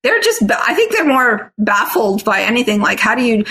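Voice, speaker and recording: A middle-aged woman musician who grew up in Toronto with Italian as her first language, answering interview questions in a halting, searching way with many restarts. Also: fairly high pitch, very animated, pushed and bright. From Canada, raised in Toronto. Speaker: singer and bassist, interview guest. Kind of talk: casual interview talk.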